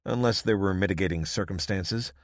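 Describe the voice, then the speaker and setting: Cautious ex-detective, man, low and measured, read by the narrator. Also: no echo, clean studio sound. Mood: guarded.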